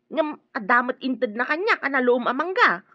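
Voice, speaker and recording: A woman's voice: high voice, sing-song, normal speed, dry recording, muffled recording. An actress playing a gossipy, slightly scatterbrained, confiding woman in an Ilocano radio drama. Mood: puzzled, confiding.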